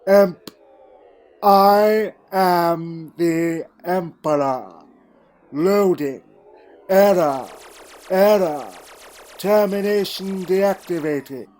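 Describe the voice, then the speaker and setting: Male actor as glitching sentient computer, high-pitched, very slow, clipped repeated phrases, megalomaniac, audio drama, music underneath. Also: a little mellow. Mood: cold, mechanical. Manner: clipped system announcements, repeating itself. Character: malfunctioning sentient computer sliding into megalomaniac madness.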